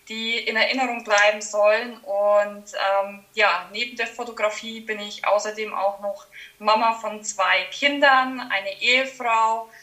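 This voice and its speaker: A Franconian woman in her thirties chats as a podcast guest. Her voice is bright and clear, and she talks in a friendly way, often pausing on filler sounds. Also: mid-range voice, poor audio. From Franconia, Germany. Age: 30s. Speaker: wedding photographer guest. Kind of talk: casual podcast interview.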